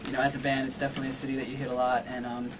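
Rambling, fast-talking man in his thirties. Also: mid-range voice, completely flat delivery, recorded from a distance, noisy background. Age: 30s. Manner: eager, rambling, lots of fillers. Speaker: band member guest. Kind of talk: casual interview talk. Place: radio studio.

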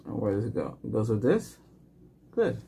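A man's voice: fairly low pitch, huge pitch swings, moderate pace, gravelly, background noise. A middle-aged man improvising an ASMR doctor roleplay, giving short, simple questions and instructions as in a medical exam. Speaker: ASMR role-player acting as ER doctor. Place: small home room set up as clinic.

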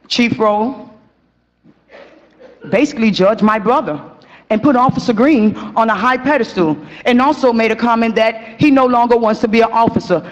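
A young woman speaking at a podium in a council chamber, pressing urgent, repeated questions. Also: a little high. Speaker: victim's sister at council meeting. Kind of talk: public comment speech. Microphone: podium mic. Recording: dull meeting audio with long hall reverb.

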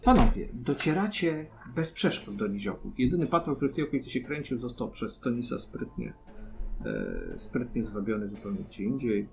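A man's voice: medium pitch, sing-song, moderate pace, gravelly. A man narrating an improvised tabletop role-playing game as game master. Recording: music behind the voice. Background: instrumental music.

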